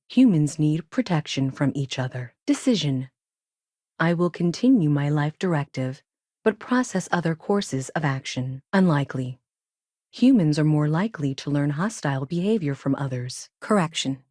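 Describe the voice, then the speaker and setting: A woman playing a self-aware female ship AI in a video game, speaking in clipped, literal, measured statements with a detached, earnest logic. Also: sits low, a little mellow, dry recording, clean studio sound. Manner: clipped, announces each statement with a one-word label, literal. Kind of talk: video game character dialogue.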